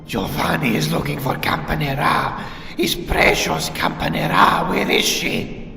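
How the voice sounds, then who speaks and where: Unhinged game character: gravelly, sharp, swooping voice in echo. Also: male voice, a little high, very animated, a little slow, full character voice. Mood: fretful, searching. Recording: echoey hall with faint music.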